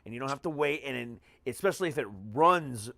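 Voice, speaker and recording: An American man hosting a podcast in casual chat, halting and often reworking his phrases as he goes. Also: mid-range voice, creaky, pushed and bright, dry recording. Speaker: podcast host.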